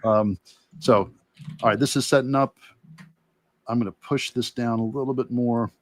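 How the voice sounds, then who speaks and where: A man's voice: mid-range voice, fairly even intonation, normal speed, no room sound. A man giving a casual instructional demonstration as an illustrator, thinking aloud in loose, broken asides as he works. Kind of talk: casual instructional talk while working.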